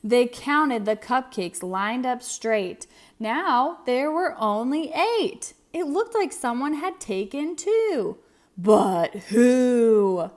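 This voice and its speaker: A woman reading a picture book aloud for children. Her pitch is fairly high and moves a great deal. She takes it slowly, with expressive, dramatic emphasis. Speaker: storybook narrator. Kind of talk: children's read-aloud narration.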